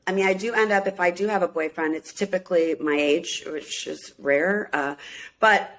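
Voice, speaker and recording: A woman's voice: on the low side, moderate pace, a bit bright. A woman in her fifties, originally from Minneapolis, chatting as a guest in an interview, laughing often and acting out quotes. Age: 50s. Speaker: guest, singer and reality show cast member. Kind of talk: casual interview chat.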